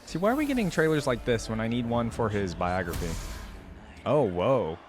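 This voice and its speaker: A man hosting a reaction video, giving informal, excitable commentary and thinking aloud as he goes. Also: mid-range voice, normal speed, a little hiss or hum. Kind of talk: casual reaction commentary with some reading aloud.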